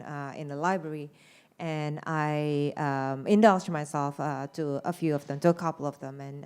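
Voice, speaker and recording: A woman's voice: fairly low pitch, darkish tone, smooth. A woman from Indonesia, answering at a press conference as a film director, thoughtful and searching for her words.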